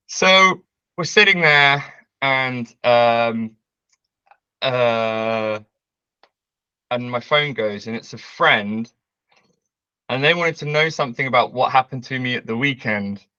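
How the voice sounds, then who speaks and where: A man, telling a story as a guest on a video call, loud and brash, laughing often. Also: medium pitch, slow pace, pauses often, clear, resonant tone, dry recording, muffled recording. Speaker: interview guest. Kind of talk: casual storytelling. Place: bright home room with large windows.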